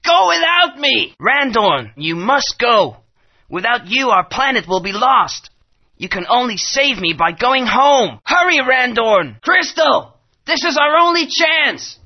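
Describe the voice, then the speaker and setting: A voice actor playing an urgent, earnest young dinosaur prince. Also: male voice, very high voice, big pitch swings, slow pace, heavily acted. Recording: dull lo-fi game audio.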